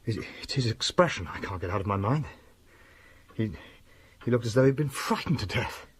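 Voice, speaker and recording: Husky, expressive young gentleman. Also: mid-range voice, moderate pace. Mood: shaken. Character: anxious, impatient young gentleman, bereaved heir. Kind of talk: radio drama acting.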